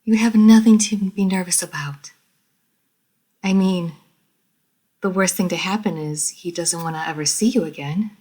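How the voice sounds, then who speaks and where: A woman voicing a refined, proud lady vampire who is easily flustered, speaking formally and with measured care in an audio roleplay. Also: on the low side, dark tone, close to an everyday voice. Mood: earnest self-encouragement. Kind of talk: scripted audio roleplay addressed to the listener.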